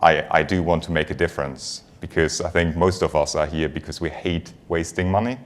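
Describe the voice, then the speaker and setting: Man, German accent, low deep voice, conversational conference speaker, light humour. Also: steady tone, fairly quick. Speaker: finance executive giving a conference talk. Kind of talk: conference presentation.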